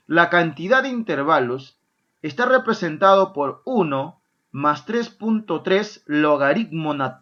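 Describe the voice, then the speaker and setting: A male math teacher gives a lively class. He keeps checking in with his students. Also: on the high side, dull audio. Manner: lively, asks "¿ya?" and "¿correcto?" often, answers his own imagined student questions. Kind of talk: online math lesson.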